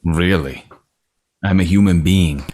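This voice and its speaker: A man acts out a male escort with a low voice and easily hurt pride. He is flustered and reluctant, stumbling over his protests in a hesitant way. It is a drama table read. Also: slow, a little mellow. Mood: flustered, protesting. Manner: hesitant, stammering.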